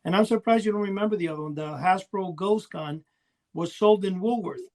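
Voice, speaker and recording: A man with a noticeably high voice talks casually as a podcast co-host. He rambles chattily, piling up small details as he reminisces. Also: fairly quick, darkish tone. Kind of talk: casual rambling reminiscence about old toys.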